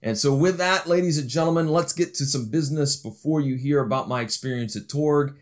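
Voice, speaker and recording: Upbeat chatty podcast host. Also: male voice, a little high, brisk. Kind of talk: casual podcast chat. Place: busy indoor expo hall.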